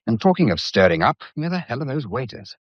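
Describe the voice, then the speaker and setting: An actor playing a flippant, charming British gentleman in a period mystery drama, breezy, teasing and sardonic. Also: medium pitch, big pitch swings, fairly quick, no room sound, lo-fi sound. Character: wry, flippant gentleman, charming self-styled old cad.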